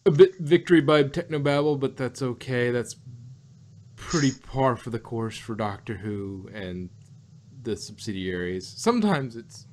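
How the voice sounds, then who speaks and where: Male co-host, casual podcast review, rambling delivery full of restarts, mid-pitched voice. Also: expressive, a little slow, a little mellow, slight background noise. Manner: rambling, many restarts and quoted asides.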